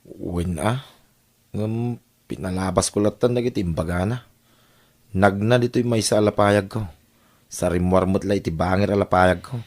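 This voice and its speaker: A man's voice: sits low, moderate pace, pauses often, darkish tone. A man with a Filipino accent playing a hard-up, caring husband and father in an audio drama. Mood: resentful. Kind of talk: Ilocano audio drama acting.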